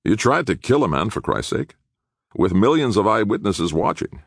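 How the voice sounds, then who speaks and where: A cold, impatient young male lawyer played by a male narrator in an audiobook. He speaks low and curt, with accusing, disapproving emphasis and a gravelly edge. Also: very animated, moderate pace, no room sound, clean studio sound, exaggerated voice.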